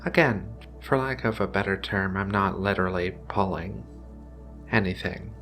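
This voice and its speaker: A man voicing Ragna's kind of figure, a gentle, caring giant female feline alien researcher, in a soothing, hesitant ASMR roleplay delivery. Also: sits low, normal speed, faint music behind. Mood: gentle, coaxing.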